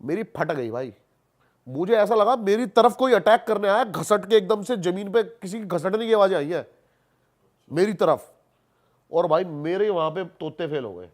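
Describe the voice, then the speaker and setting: A man's voice: high voice, expressive, normal speed. A man telling stories casually as a podcast guest, colloquial and repeating himself for emphasis, mixing in English words. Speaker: podcast guest, haunted-place investigator. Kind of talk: animated casual storytelling on a podcast.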